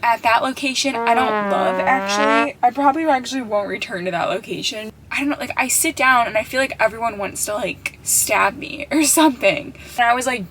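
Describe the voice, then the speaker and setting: A young woman vlogging to camera in a casual, upbeat way, full of "like" fillers, her voice hoarse and nearly gone. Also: on the high side, a bit bright, a little hiss or hum, poor audio. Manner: chatty, upbeat, lots of "like" fillers. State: almost lost her voice, hoarse. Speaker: travel vlogger. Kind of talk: casual vlog talk to camera.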